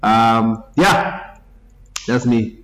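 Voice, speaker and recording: A man, a guest musician telling his story in a relaxed way and laughing easily. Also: medium pitch, extremely slow. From Zimbabwe. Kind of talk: casual interview talk.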